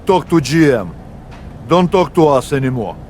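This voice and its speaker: A man's voice: on the high side, sing-song, normal speed, a little mellow, a little hiss or hum. A man playing a blunt, bullish foreign henchman speaking broken English in a wrestling video game. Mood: stern, threatening. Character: curt, bullish foreign male security heavy. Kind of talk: scripted wrestling game cutscene acting.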